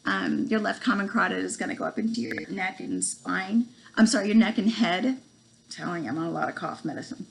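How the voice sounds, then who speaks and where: A woman in her forties with a cold, losing her voice, giving a halting home video lesson full of ums and stumbling over medical terms. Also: medium pitch, animated, gravelly, a little hiss or hum, low-quality recording. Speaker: student presenter. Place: small room at home, indoors. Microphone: laptop webcam.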